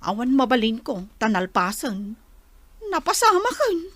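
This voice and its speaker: A woman's voice: a little high, sing-song, unhurried, fairly bright tone, dry recording, exaggerated voice. A woman playing a defensive straying wife in an Ilocano radio comedy drama.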